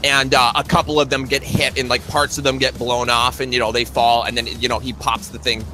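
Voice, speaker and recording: A man with a bright, sharp-edged voice works as game master of a tabletop zombie game. He improvises the scene for his player at an ordinary male pitch. Music plays faintly behind him. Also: level tone, fairly quick.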